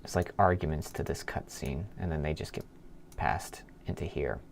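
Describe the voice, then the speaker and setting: A man live-streaming as a programmer, thinking aloud in a halting mutter as he works, half talking to himself. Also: a bass voice, creaky. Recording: keyboard clicks and background noise. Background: computer keyboard typing and clicking.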